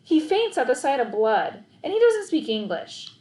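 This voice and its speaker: A woman's voice: high-pitched, very animated, moderate pace, warm tone, reverberant, pitched above the performer's own voice. A woman voicing an earnest, nervous kid who loves dogs, in a children's story read-aloud. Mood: awkward, insistent. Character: earnest, nervous kid narrator, eager dog lover.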